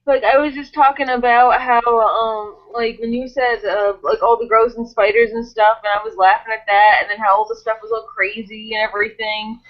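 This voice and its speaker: A woman's voice: a little high, steady tone, moderate pace, hardly any pauses. A woman chatting casually as a cast member, rambling with lots of "like" and "um". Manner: rambling, full of "like" and "um". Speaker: cast member of an audio drama. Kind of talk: casual chat. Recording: dull lo-fi sound.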